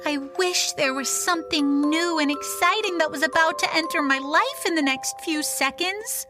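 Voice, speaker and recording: Petulant young child for spoof radio ad, played by a woman: very high, exaggerated, over music. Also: normal speed, natural voice. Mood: bored, whiny. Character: bored, petulant young child who turns ecstatic in a toy advert. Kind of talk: audio play parody advert.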